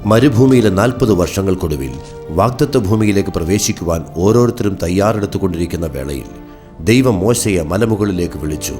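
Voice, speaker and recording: Deep-voiced male story narrator. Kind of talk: scripted dramatic story narration.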